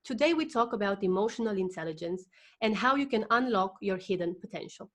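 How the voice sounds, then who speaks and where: A woman's voice: mid-range voice, expressive, fairly quick. A woman hosting a podcast interview, introducing her guest enthusiastically and talking with frequent fillers. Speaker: podcast host.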